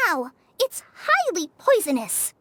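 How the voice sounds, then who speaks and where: A woman voicing an earnest, nervous teenage girl in a video game, shy and halting in manner. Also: extremely high pitch, wildly swooping pitch, sharp tone, slight background noise, full character voice.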